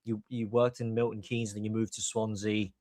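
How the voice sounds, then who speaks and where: A man's voice: fairly low pitch, little pitch movement, moderate pace, darkish tone, dry recording, studio quality. A man from Hong Kong hosting a podcast, giving opinionated commentary in an emphatic, long-winded way. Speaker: podcast host.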